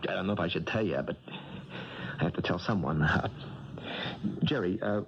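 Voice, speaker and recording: An actor playing a plainspoken, uneasy young boxer with hesitant, stammering speech in a 1970s radio drama. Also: male voice, low voice, moderate pace, vocal fry, noisy background. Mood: scared, confiding. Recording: muffled old radio broadcast.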